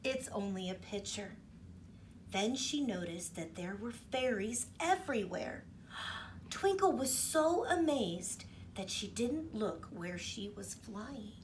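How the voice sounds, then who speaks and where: A woman's voice: on the high side, slow pace, distant mic, slight background noise, poor audio. A woman teacher reading a storybook aloud to children, stopping often to ask them questions. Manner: expressive, asks the children questions. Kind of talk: storybook read-aloud for kids.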